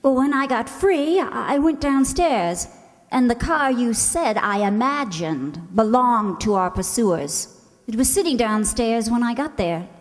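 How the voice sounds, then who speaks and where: Woman acting a spirited high-voiced young lady, echoing hall. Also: lively intonation, a little slow. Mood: earnest. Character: spirited young woman, Pamela, by turns anxious and breezily sarcastic. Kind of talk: radio play acting. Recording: echoey hall, long reverb.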